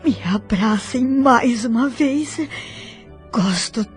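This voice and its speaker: A Brazilian woman playing an emotional, devout daughter in a spiritist audio drama, tender and eager, in a husky voice. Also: a little high, takes it slowly, soft background music. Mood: tearful tenderness.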